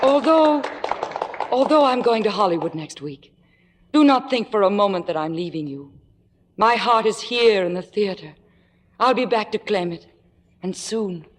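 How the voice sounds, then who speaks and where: A woman's voice: mid-range voice, animated, moderate pace, pauses often, natural voice. A woman playing an ambitious young stage actress in theatrical 1950s radio drama style. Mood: gracious, tearful. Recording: echoey, noisy old radio stage recording.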